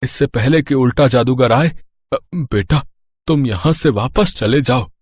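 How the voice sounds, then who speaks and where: A man's voice: mid-range voice, a little slow, no room sound, clean studio sound, lightly acted. A man voicing a worried, kindly older merchant and town elder in a children's cartoon story, explaining earnestly in a fatherly way.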